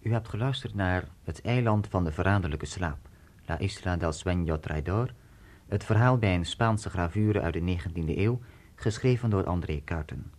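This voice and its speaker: A man's voice: sits low, normal speed, a little mellow. A man announcing a radio play in a scripted style.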